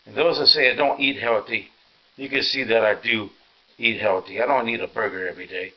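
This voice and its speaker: A tired, sore man gives a rambling, halting, casual narration as he hosts a home vlog. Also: mid-range voice, steady tone, muffled recording. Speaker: vlog host. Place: inside a house, walking through rooms.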